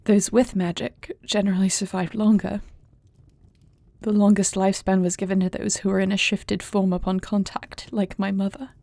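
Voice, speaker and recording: A woman acts out a young dragon princess in an intimate audio drama. Her voice is mellow and creaky, held in a narrow, even range. She speaks formally and softly, with the measured gravity of a dutiful, grieving heir. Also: medium pitch, no room sound. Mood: somber, subdued. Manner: formal, measured, soft-spoken. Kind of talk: intimate audio roleplay acting.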